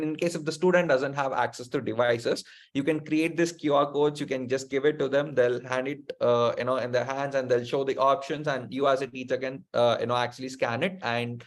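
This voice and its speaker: A young man presenting a webinar, answering questions politely and reassuringly, recorded on a video call in a small room. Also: medium pitch. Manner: polite, reassuring, says ma'am often. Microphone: webcam on a video call.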